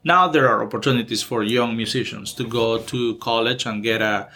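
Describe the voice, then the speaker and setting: Honduran man in his 40s, spanish accent, bright forceful tone, halting but cheerful guest. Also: medium pitch. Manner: hesitant, many fillers and restarts, upbeat. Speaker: music professor, interview guest. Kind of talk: casual interview.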